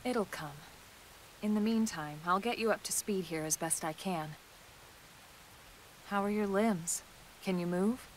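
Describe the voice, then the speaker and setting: A woman playing a composed, reassuring female sci-fi soldier in a video game cutscene, steady and matter-of-fact. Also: mid-range voice, unhurried, long pauses, close to an everyday voice, low-quality recording. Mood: calm, reassuring. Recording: faint rain and hiss behind.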